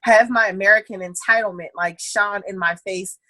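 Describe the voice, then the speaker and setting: A young woman talking as a podcast guest in casual chat, animated and slangy, laughing often. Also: mid-range voice, moderate pace, no room sound, low-quality recording. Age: young adult.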